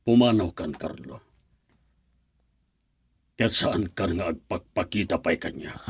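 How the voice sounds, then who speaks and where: A stern middle-aged father in a Filipino radio drama. His low voice is very raspy and Filipino-accented, cold and unforgiving toward whoever crosses his child. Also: measured pace, exaggerated voice. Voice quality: raspy, gravel-edged.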